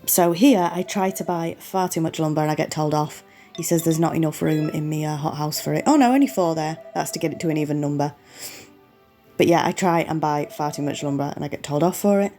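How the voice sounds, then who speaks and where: A woman's voice: sits low, fairly quick, dark tone, faint music behind. Sniffly woman commentating a game. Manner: apologetic, chatty, talks the viewer through each step. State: sniffly and losing her voice. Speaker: gaming channel host. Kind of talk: relaxed let's-play commentary.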